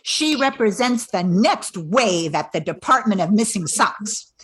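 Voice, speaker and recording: Woman, husky voice, medium pitch, scripted reading, radio cast introduction. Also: expressive, measured pace. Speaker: radio play cast member.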